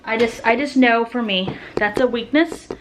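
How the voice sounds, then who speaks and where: High-voiced American woman, rambling casually. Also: moderate pace. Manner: informal, rambling. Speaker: family vlogger and mother. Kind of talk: casual chat to camera. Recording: noisy home-video sound with clicks.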